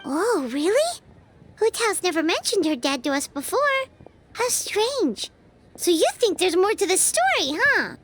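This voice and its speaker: A woman voicing a tiny, chatty fairy companion in a video game, with a squeaky childlike voice and an expressive, inquisitive, naive manner. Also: measured pace, a little hiss or hum, heavily acted. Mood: surprised, curious. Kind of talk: video game voice acting, cartoonish.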